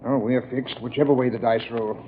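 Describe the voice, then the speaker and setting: An actor playing a tough, ruthless criminal ship captain with slangy bluster, in 1940s radio style. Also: male voice, mid-range voice, fairly even intonation, brisk, lightly acted. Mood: smug. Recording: tinny, noisy old radio recording.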